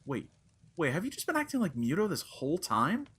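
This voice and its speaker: A man playing an exasperated yet kind character in a comic audio play. His voice is husky and swings widely in pitch as he talks haltingly and tries to placate. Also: huge pitch swings, a bit bright, exaggerated voice. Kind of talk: comedic audio drama.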